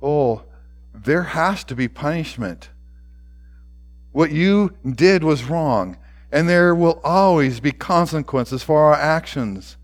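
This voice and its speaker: A man voicing a gentle, solemn older principal in a story, speaking quietly and deliberately. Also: a little high, expressive. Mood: firm, gentle. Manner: quiet, slow, deliberate, near whisper at times.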